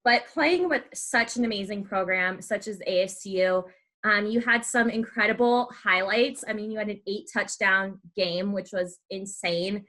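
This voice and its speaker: A woman's voice: medium pitch, unhurried, fairly bright tone. A young woman hosting a podcast in a casual interview style. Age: young adult. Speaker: podcast host.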